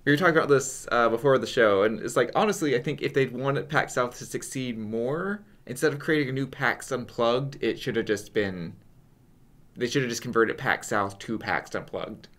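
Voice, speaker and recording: A young man co-hosting a casual podcast, trading quick, jokey banter. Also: mid-range voice, fairly bright tone. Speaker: podcast co-host.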